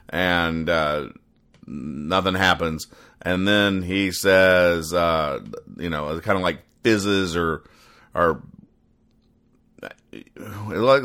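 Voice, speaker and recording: A male podcast host with a fairly low but bright, punchy voice. He rambles through casual comedic commentary, editorializing with mock exasperation as he reads aloud.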